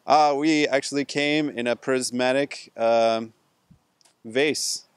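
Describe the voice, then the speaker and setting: A man playing a slow deadpan alien reporter. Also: mid-range voice, clean tone, no echo, close to an everyday voice. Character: male alien reporter from a distant planet.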